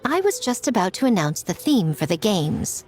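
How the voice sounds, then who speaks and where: Actress as playful, theatrical shrine priestess for a game: animated mid-pitched voice, knowing, self-assured, faint music. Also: female voice, moderate pace, lightly acted. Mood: teasing. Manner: teasing, poised, theatrical. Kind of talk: video game voice acting.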